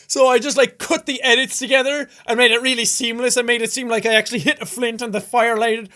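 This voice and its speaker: Excitable high-voiced Irish gaming commentator. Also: male voice, fast talker. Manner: excitable, loud exclamations, casual swearing. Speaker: gaming YouTuber. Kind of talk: casual reaction commentary.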